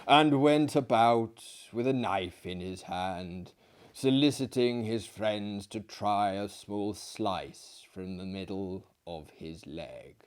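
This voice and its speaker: A man voicing a cadaverous dinner guest in a storybook, telling odd tales in a drawn-out, pausing way. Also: fairly low pitch, clear, resonant tone, pitched below the performer's own voice. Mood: grave, drawn-out.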